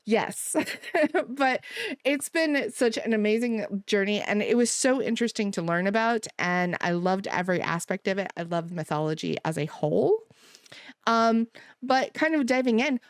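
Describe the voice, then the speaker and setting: A woman's voice: medium pitch, no echo, clean studio sound. A woman hosting a podcast, gushing with enthusiasm in casual chat. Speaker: podcast host.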